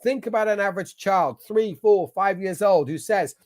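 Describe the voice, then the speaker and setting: A man's voice: high-pitched, normal speed, darkish tone. A man with an English accent giving an online masterclass as a business coach, talking in an emphatic, motivational way. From England. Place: at a computer sharing his screen.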